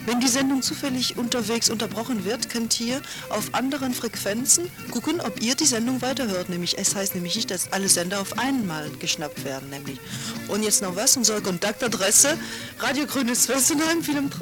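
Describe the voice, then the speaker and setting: A woman's voice: on the high side, fairly quick. Brief: woman pirate radio presenter, loose and chatty, music bed under her voice. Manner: relaxed, chatty, with fillers and self-corrections. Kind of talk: casual radio announcements.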